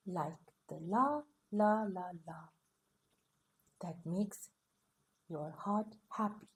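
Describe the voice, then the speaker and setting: A woman gently reading a bedtime picture book aloud, soft and soothing with a sing-song rhythm. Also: mid-range voice. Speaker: storyteller.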